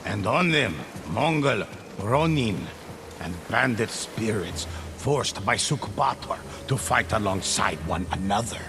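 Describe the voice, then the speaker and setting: Deep-voiced, solemn legend storyteller. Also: male voice, animated, noisy background. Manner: solemn, measured, dramatic. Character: grave male storyteller recounting ghostly samurai legends. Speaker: narrator. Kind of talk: scripted storyteller narration of a samurai ghost legend. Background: loud cinematic music.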